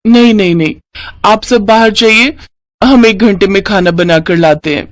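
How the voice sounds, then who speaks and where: A woman's voice: mid-range voice, normal speed, dry recording, clean studio sound, natural voice. A woman voicing a contrite young daughter-in-law in a cartoon story, respectful and apologetic. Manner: apologetic, pleading.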